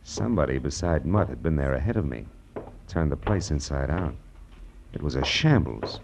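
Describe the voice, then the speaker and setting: Very deep-voiced wry private eye. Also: male voice, lively intonation, moderate pace, creaky, full character voice. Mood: terse narration. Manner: sardonic, clipped wisecracking. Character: wry, tough male private detective. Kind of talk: 1950s radio detective drama acting. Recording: muffled, noisy vintage radio recording.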